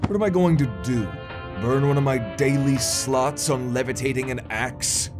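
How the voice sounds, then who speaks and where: A man's voice: medium pitch, normal speed, over music, natural voice. A man voicing a prissy, put-upon wizard train steward in a comic dub, formally polite and sarcastic. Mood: exasperated. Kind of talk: comic dub character acting.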